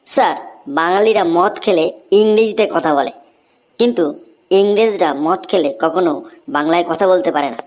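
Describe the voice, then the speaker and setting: A woman's voice: fairly low pitch, moderate pace, a little mellow, lightly acted. A woman voicing a cheeky, wisecracking schoolboy in a Bengali comedy cartoon, explaining things with mock earnestness. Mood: smug.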